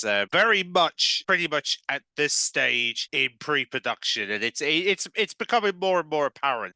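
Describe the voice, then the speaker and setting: A man's voice: fairly low pitch, sharp tone, smooth, no echo. A man hosting a casual fan news show, talking in a rambling way with repeated and restarted phrases.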